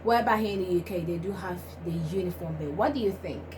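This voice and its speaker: A woman's voice: on the low side, fast. Chatty young woman, noisy recording. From Finland. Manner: animated, many fillers and laughs, addresses viewers. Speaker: vlog host. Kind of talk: casual chat.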